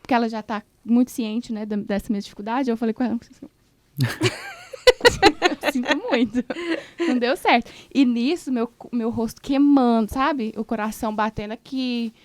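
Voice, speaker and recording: A woman co-hosting a radio show who tells her stories in an expressive, dramatic way. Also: on the high side, normal speed, a little hiss or hum. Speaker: radio co-host. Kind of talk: casual chat. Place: radio studio.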